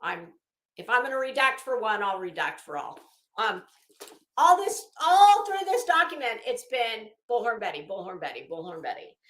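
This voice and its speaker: A woman host reading aloud and adding sarcastic, emphatic, halting commentary as she goes. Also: a little high, huge pitch swings, a bit bright. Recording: echoey room sound.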